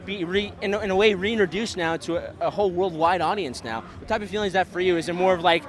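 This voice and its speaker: A man's voice: sits high, quick pace. A man interviewing a wrestler on site, eager, asking long stacked questions. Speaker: podcast interviewer. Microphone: handheld camera mic.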